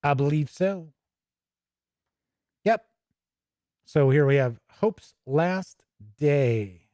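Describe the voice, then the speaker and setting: A man's voice: fairly high pitch, lively intonation, extremely slow, warm tone, dry recording. A man hosting a tabletop gaming stream, talking informally and thinking aloud as he goes. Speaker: host of a tabletop gaming news and review show. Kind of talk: casual livestream walk-through of a game book, chatting with viewers.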